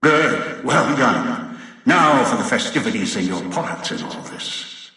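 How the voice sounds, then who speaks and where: An older man voicing a domineering, scheming old man in a video game, deliberate and imperious, through a reverberant loudspeaker echo. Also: medium pitch, animated. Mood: imperious instructing. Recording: echoing hall, long reverb, distant.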